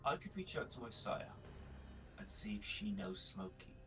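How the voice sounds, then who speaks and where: A man playing a tabletop role-playing game, reasoning through plans in character in a deliberate way. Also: on the low side, big pitch swings, bright, forceful tone, in a whisper, recorded from a distance, faint music behind. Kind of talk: in-character planning talk.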